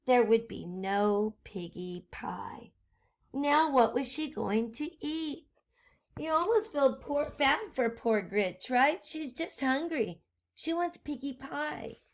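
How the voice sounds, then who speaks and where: A woman doing an expressive children's read-aloud, pausing the story to ask listeners questions. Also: high voice. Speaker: storytime reader, teacher.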